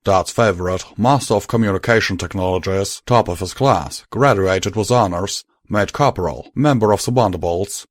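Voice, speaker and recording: Young male pegasus sharpshooter voiced by a man, gruff, blunt, fairly low, dry sound. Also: normal speed, few pauses, no room sound.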